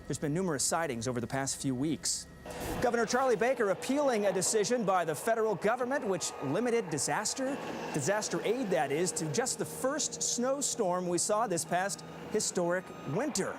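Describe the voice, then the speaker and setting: Male anchor with a high-pitched voice for newscast reads, slight background noise. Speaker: news anchor. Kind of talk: broadcast news delivery with some ad-libbing.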